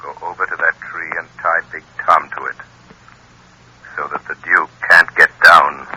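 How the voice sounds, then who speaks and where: A man's voice: rumbling low, level tone, moderate pace, bright, forceful tone, husky, full character voice, poor audio. A man playing an unseen crime fighter in an old-time radio drama, suave, taunting and unflappable. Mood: commanding. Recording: vintage radio drama recording.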